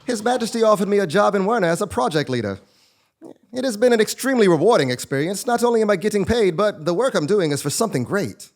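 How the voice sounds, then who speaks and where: A man's voice: sits high, lively intonation, fast. An actor playing a polite, upbeat working man in a stage comedy, proper and matter-of-fact. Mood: cheerfully oblivious. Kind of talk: live absurdist comedy stage acting.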